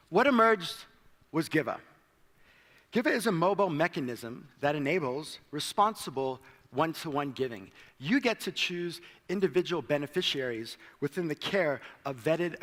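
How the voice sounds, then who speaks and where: A man's voice: on the high side, a bit bright. American-accented man presenting in a hall. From South Africa. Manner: light self-deprecating humor. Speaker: conference speaker. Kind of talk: presentation talk. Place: large hall.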